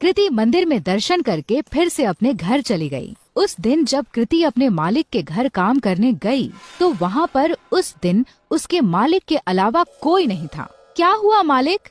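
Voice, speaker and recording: A woman storyteller giving an expressive scripted narration of a story. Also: on the high side, unhurried, hardly any pauses, no room sound, faint music behind.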